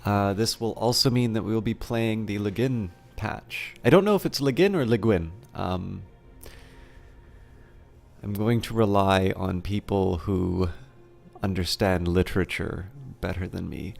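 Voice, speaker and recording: A man with a low, creaky voice chats to viewers on a live game stream, with faint music underneath. He sounds a bit rough, as if getting over an illness. His delivery is halting and rambling, as he thinks things through aloud. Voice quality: slightly rough voice. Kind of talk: casual live stream chat, greeting viewers.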